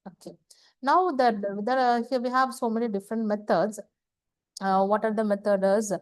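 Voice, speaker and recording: A woman gives a slide lecture, halting and repeating phrases, often asking questions and answering them herself. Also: fairly high pitch, fairly even intonation, no room sound. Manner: halting, repetitive, asks and answers own questions. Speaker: engineering lecturer. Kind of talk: slide lecture explaining technical material.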